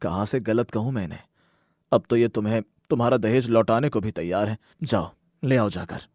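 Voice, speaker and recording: A man's voice: on the low side, fairly quick, a little mellow, no room sound, natural voice. Man playing a stern older father, dry sound. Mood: stern, disappointed. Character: stern, fair-minded older father of two daughters.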